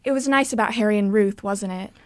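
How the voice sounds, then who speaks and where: A woman's voice: medium pitch, fast, a little mellow, no room sound, lightly acted. A sweet young woman with a teasing edge, acted by a teenage girl in a radio play. Her voice is mid-range and sits in a dry, close recording. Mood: sweet, hopeful.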